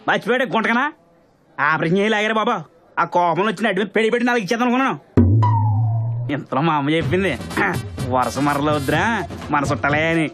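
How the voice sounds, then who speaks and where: A man's voice: high-pitched, animated, fast, long pauses. A man playing a brash, chatty small-town shopkeeper relative in a comedy film, with a coastal Andhra Telugu accent and a rambling, overfamiliar manner. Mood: teasing, overfamiliar. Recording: film soundtrack with music behind.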